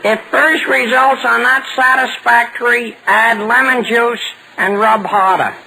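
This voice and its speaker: An actor playing an eager, excitable teenage boy in a 1940s radio comedy, earnest and prone to stammering. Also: very high voice, unhurried, gravelly, full character voice, low-quality recording. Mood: confident. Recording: hissy vintage 1940s radio recording.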